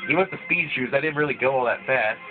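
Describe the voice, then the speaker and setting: Man, casual gameplay commentary, voice thick from a cold, tinny phone-like audio, loud game music behind. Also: medium pitch, fast. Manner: rambling, with frequent restarts. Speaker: let's play gamer. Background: video game music playing.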